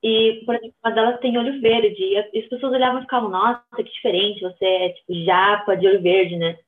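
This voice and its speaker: A woman's voice: mid-range voice, steady tone, fast talker. A woman with a Brazilian accent speaking as a podcast guest in informal, conversational talk full of slang and tag questions, over a thin call-quality line. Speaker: podcast guest, social media creator. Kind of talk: casual conversational interview. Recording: thin phone-like remote line.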